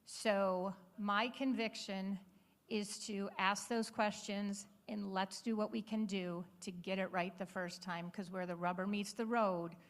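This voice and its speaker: A woman speaking emphatically and plainly to an audience as a state lawmaker on a panel. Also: mid-range voice. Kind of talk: semi-formal political speech to an audience.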